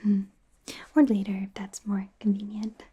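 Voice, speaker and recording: A woman playing an awkward, eager werewolf trainee doctor in a soft, breathy, hesitant whisper for an ASMR roleplay. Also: mid-range voice, level tone, moderate pace, lo-fi sound. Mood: shy, flirty. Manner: hesitant, rambling, giggly, stammering.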